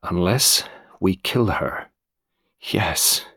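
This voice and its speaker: A man's voice: lowish voice, lively intonation, a little fast, slightly rough, no room sound. A man voicing a bitter young man in an audiobook, intense and nervous beneath a firm, matter-of-fact manner. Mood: cool, steady. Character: tense, desperate, bitter young man plotting murder.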